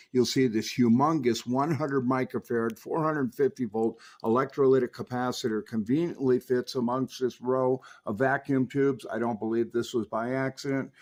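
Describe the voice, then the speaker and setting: A man's voice: mid-range voice, darkish tone. A man narrating an instructional demonstration as a radio repair technician.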